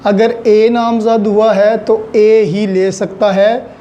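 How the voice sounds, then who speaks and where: A man's voice: high voice, level tone, takes it slowly, dark tone, muffled recording, a little hiss or hum. A man with a Pakistani accent giving an explanatory lecture to students as a law teacher, methodical and using examples, mixing in English legal terms.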